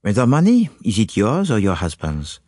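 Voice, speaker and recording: A man's voice: on the low side, wildly swooping pitch, moderate pace, a little mellow, dry recording, studio quality. A man reading an audiobook, voicing a fussy, courteous foreign male detective who speaks precisely and drops French phrases. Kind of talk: audiobook character voice.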